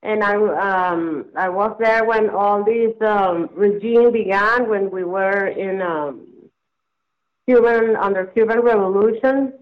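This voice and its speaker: A woman from Venezuela with a Venezuelan Spanish accent, a guest answering in a hesitant way with pauses and fillers. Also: medium pitch, narrow, tinny audio.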